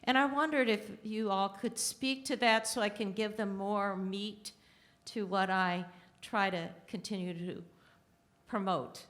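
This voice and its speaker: A woman speaks very slowly from an auditorium audience and asks the panel a question, halting and pausing between phrases. Her voice sits at an ordinary pitch. She leans hard on fillers as she gathers her thoughts. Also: fairly even intonation, a bit bright. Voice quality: halting, many pauses and fillers. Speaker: audience member asking a question.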